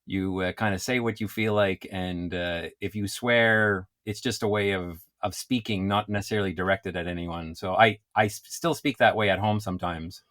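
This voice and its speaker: A man from Quebec, a comedian, chatting casually as an interview guest, animated and quick to laugh. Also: low voice. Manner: animated, laughs often, self-described loud voice.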